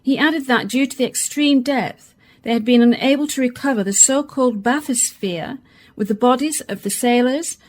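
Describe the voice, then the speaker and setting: A woman's voice: high-pitched, normal speed, a little mellow. A woman playing a radio presenter in a science fiction radio drama. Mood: grave. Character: female live radio presenter interviewing a diver. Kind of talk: radio play acting as live broadcast.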